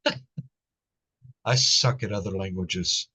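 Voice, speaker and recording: A man with a lowish voice asks questions in a relaxed interview, speaking slowly and haltingly. He is hesitant and quick to poke fun at himself. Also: husky, no echo. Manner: hesitant, self-deprecating, laughs at himself. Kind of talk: casual interview chat.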